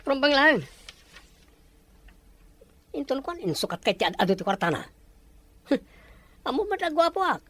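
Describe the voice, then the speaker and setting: A woman's voice: high-pitched, very animated, unhurried, long pauses, fairly bright tone, exaggerated voice. A woman voicing a vain, money-hungry male lover with a cocky, wheedling manner in an audio drama. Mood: smug, amused. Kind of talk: Ilocano audio drama acting.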